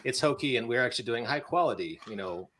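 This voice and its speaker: A male podcast host with an American accent and an average-pitched voice. He talks informally and jokes along the way, in the loose style of casual chat. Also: lively intonation, quick pace, bright, forceful tone. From United States. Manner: jokey, informal.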